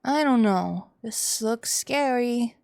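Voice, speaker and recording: A woman's voice: on the high side, animated, takes it slowly, vocal fry, a little mellow, dry recording, studio quality, full character voice. A woman voicing a frightened, homesick young child in a game, timid and pleading. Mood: uneasy.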